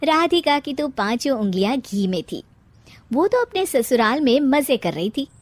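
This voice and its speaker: High-pitched, animated woman storyteller. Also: moderate pace, no room sound. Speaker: narrator. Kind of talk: scripted storytelling for a cartoon.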